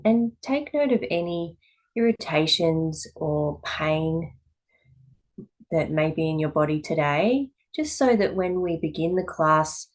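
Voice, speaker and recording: Low-pitched woman guiding a yoga class. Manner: calm, measured, with pauses between short phrases. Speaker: yoga teacher. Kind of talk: guided meditation instruction.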